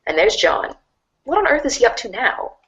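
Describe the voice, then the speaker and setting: A woman's voice: fairly high pitch, very animated, moderate pace, a bit bright, muffled recording. A woman narrating a read-along storybook in a scripted storytelling style.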